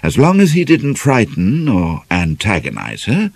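Expressive British male narrator, slightly husky. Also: lowish voice, unhurried, no echo. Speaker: audiobook narrator. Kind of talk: dramatic scripted novel narration.

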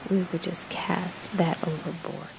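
A woman narrating a guided meditation in a soothing, hushed manner, with breathy phrase endings and long pauses between short phrases. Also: lowish voice, flat delivery, noisy background.

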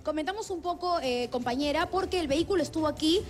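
High-voiced Peruvian woman reporting live. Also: soft background music. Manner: brisk reporting with restarts. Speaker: field reporter. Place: outdoors on a city street. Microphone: handheld mic.

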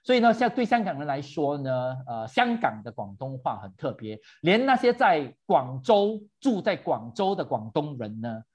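A man's voice: a little high, big pitch swings, moderate pace, hardly any pauses, darkish tone, breathy edge. A man hosting a virtual tour for seniors, chatting animatedly and switching between English and Mandarin. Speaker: host.

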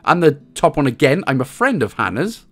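A man's voice: on the high side, sing-song, a little fast. A man with a Welsh accent giving a casual game walkthrough with cheeky, jokey asides. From Wales. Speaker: video game guide host.

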